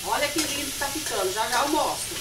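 A woman's voice: sits high, animated, fast talker. A middle-aged woman, chatting casually and directly to viewers as she demonstrates home cooking. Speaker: home cook and vlogger. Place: small home kitchen, indoors. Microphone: phone, partly from across the room. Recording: echoey kitchen with clear sizzling noise.

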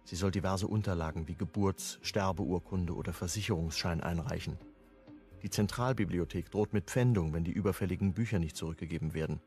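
An actor playing a sober first-person narrator in a crime radio drama, restrained, in short sentences. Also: male voice, low voice, a little fast, a little mellow, soft background music. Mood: sober, recounting.

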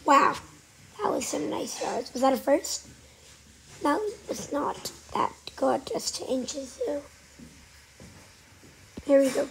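A woman with a cold giving casual, excitable commentary while playing a game, sometimes dropping to a whisper. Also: high-pitched, slow, gravelly, long pauses, low-quality recording. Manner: chatty, excitable, trailing off into whispers. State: has a cold. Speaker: gaming channel host. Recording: faint background noise.

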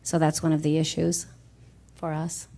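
A woman on a conference panel explaining her department's practices in a measured way. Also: sits low, monotone, creaky, dark tone. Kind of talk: panel discussion remarks.

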